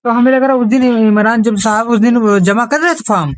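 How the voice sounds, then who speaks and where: A woman's voice: on the high side, a little fast. A woman with an Uttar Pradesh accent chatting in a colloquial, agreeable way on a recorded phone call. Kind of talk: casual private phone conversation.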